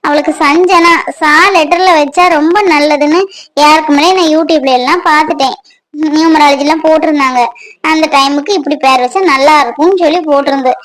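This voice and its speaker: A woman's voice: high voice, steady tone, a little fast, natural voice. An actress in her twenties playing a young mother who complains with irritation in a family drama. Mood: complaining. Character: annoyed young mother, new baby. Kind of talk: web serial drama acting.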